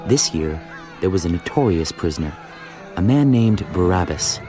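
A man narrating scripture from a script in a measured, dramatic storytelling manner. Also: low voice, expressive. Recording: music behind the voice.

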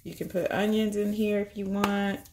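A woman's voice: mid-range voice, steady tone, moderate pace, background noise. A woman, chatting casually and upbeat as she walks through a cooking prep at home, thinking aloud as she goes. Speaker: vegan cooking vlogger. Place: home kitchen.

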